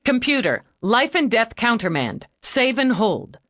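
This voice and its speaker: A woman's voice: a little high, big pitch swings, a little slow, a bit bright, no echo, voice pushed up, exaggerated voice. A woman voicing a tough female homicide lieutenant who gives blunt, curt orders. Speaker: police lieutenant.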